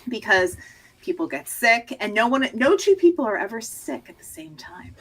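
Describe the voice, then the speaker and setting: Lively, chatty woman co-host. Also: a little high, normal speed, poor audio. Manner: chatty, trailing restarts and fillers. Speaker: podcast co-host. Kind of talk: casual chat.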